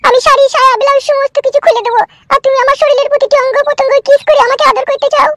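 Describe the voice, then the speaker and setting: A woman's voice: extremely high pitch, flat delivery, very fast, a bit bright, slight background noise. A woman voicing a cunning young wife in a cartoon comedy, coaxing and wheedling as she sets her terms. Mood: scheming. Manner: coaxing, wheedling, then commanding. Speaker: cartoon character. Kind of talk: Bengali cartoon comedy dubbing.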